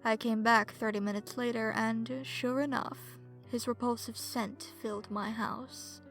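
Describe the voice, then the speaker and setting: Lady acting as a sultry vampire huntress, smug n cruel, kinda high voice, slow breathy asmr horror story, whispery bits. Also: flat delivery, fairly bright tone, soft background music. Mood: smug, predatory. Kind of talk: ASMR horror story narration in first person.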